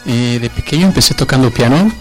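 A man's voice: mid-range voice, expressive, fast, faint music behind. A man guesting on a talk show, telling a story from his past in a reminiscing way. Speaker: bagpipe band guest.

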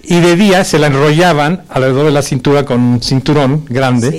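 A man's voice: a little high, fairly even intonation, fairly quick. A man, raised partly in the United States, explaining things informally as an interview guest. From born in Mexico, grew up in the United States. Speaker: interview guest, pipe band member.

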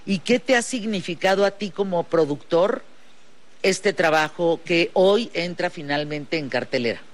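A man hosting a radio interview in a studio. His voice sits a little high for a man. He talks earnestly and strings his questions into long run-on sentences. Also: a little slow.

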